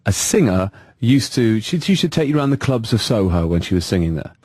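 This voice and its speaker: A man's voice: lowish voice, very animated, fast, a little mellow, husky, no echo. A man interviewing a guest on the radio, asking probing questions. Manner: probing questions, brief backchannels.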